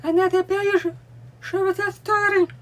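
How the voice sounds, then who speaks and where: A woman with a Russian accent, a native Russian speaker, explaining at home in a deliberate way while she signs along. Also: very high-pitched, little pitch movement, a bit bright. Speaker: language learning vlogger. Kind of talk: explaining while showing books. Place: home room with bookcase, indoors.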